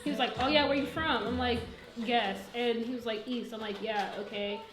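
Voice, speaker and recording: A woman telling a story in an animated, slangy way. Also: fairly high pitch, normal speed. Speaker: guest artist. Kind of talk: casual storytelling. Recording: echoey room with music.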